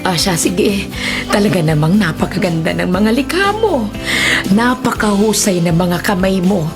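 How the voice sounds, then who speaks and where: Woman playing fond older nanny, very animated, gushing praise, radio drama, music bed. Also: mid-range voice, normal speed, natural voice. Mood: admiring, fond. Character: doting, cheerful older nanny full of praise. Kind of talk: Filipino radio drama acting. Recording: music behind the voice.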